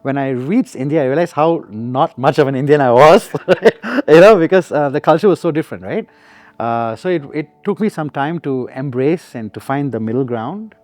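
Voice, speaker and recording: A musician in his forties from Singapore, speaking. He gives relaxed, reflective interview answers and laughs often. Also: a little high, normal speed, no room sound. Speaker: musician guest.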